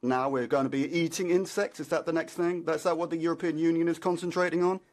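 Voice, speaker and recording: A man simultaneously interpreting a parliamentary speech, halting with frequent fillers and then pressing on in quick bursts. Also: fairly high pitch, fairly even intonation, darkish tone.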